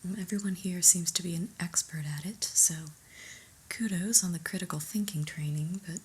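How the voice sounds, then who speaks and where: A woman's voice: on the low side, normal speed, husky. Whispering, unimpressed office boss played by a woman. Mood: patient reproach. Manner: deadpan, hesitant, politely condescending. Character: exasperated, prim new female office boss, dryly disapproving. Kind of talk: whispered ASMR roleplay.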